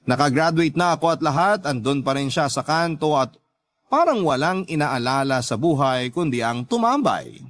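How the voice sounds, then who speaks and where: A man's voice: on the high side, fairly bright tone. A man narrating a listener's letter as scripted radio drama storytelling.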